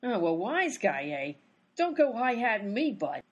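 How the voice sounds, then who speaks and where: A woman's voice: mid-range voice, sing-song, unhurried, slightly rough. A woman playing a brash, hot-tempered female private eye who wisecracks in 1930s gangster slang, in old-time radio comedy style. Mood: tough, irritated. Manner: wisecracking 1930s gangster talk, dropped grammar.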